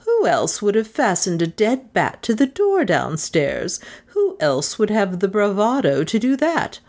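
A woman's voice: medium pitch, huge pitch swings, a little slow, a little mellow, pitched above the performer's own voice, full character voice. A woman reader voicing a stubborn, proud elderly woman who speaks firmly and insistently. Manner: firm, insistent.

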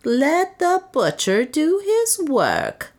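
A woman's voice: mid-range voice, sing-song, takes it slowly, creaky, pitched above the performer's own voice, exaggerated voice. A woman voicing a proud, bitter serving woman in an audiobook, with solemn, archaic, declamatory phrasing. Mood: mocking.

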